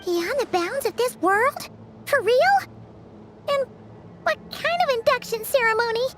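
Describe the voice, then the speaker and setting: A woman voicing a tiny, childlike, excitable female fairy companion in a fantasy game, squeaky and exclamatory. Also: measured pace, faint music behind, full character voice. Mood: puzzled. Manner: exclamatory, questioning, third-person self-reference. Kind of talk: scripted fantasy game dialogue.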